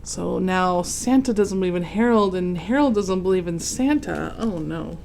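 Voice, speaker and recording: A woman reading a children's picture book aloud in an expressive way, pausing to explain and react to the pictures. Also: lowish voice, gravelly, slight background noise.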